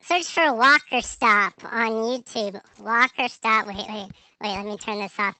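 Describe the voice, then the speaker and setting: A man voicing a high, squeaky kid through a pitch-raising voice changer while chatting in a game. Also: unhurried, sharp tone, exaggerated voice, rough recording. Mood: upbeat. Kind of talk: casual gameplay chat.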